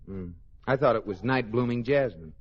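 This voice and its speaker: Animated wry radio sitcom husband. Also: male voice, mid-range voice, normal speed, slightly rough. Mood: wry, indulgent. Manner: wry, theatrical comic delivery. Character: wry, exasperated but devoted husband. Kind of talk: 1940s-50s radio sitcom acting. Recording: noisy, dull old radio recording.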